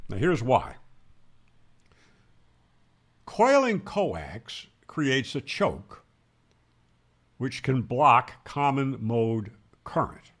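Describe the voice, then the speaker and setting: Slow, animated man explaining technical material. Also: mid-range voice. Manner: didactic, emphatic, poses questions then answers them. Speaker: ham radio instructor and presenter. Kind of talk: explanatory tutorial narration.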